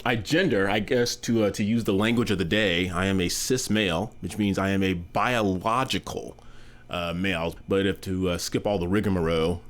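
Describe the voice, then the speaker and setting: A man in his fifties, an American being interviewed, answering in a candid, measured, good-humored way. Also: low voice. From United States. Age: 50s.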